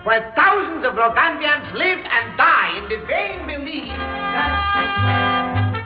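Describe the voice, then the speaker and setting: A man's voice: very high voice, very animated, slow pace, full character voice. A man acting an indignant, accusing foreign delegate declaiming a speech in an old radio drama. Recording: echoing hall, muffled radio, faint music.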